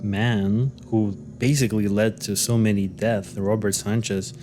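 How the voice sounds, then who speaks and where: A man's voice: fairly low pitch, steady tone, unhurried, a little mellow, soft background music. A man co-hosting a podcast, recounting a case in loose, hesitant casual chat full of fillers and restarts. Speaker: podcast co-host. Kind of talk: casual conversational storytelling.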